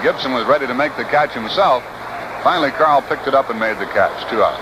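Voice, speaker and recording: American baseball announcer on noisy old radio. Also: male voice, mid-range voice, fairly quick. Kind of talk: live radio sports commentary. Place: outdoor ballpark broadcast booth. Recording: noisy 1960s AM radio broadcast. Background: steady noise and outdoor ambience.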